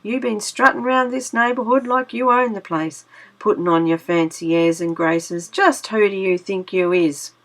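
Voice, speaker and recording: A woman's voice: mid-range voice, normal speed, fairly bright tone, natural voice. A woman voicing a sly, gloating male fox with a smug drawl. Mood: mocking.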